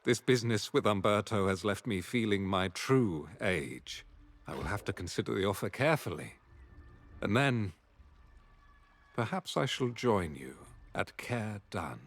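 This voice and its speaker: Low-voiced, dutiful aging knight. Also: male voice, lively intonation, no echo. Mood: weary. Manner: formal, earnest, measured. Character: weary, dutiful aging male knight, earnest and formal. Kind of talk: fantasy game acting.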